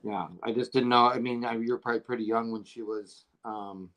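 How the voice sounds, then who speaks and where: A man's voice: mid-range voice, little pitch movement, fairly bright tone, rough recording. Casual joking male podcast co-host. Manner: casual and joking, with halting restarts. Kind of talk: podcast interview.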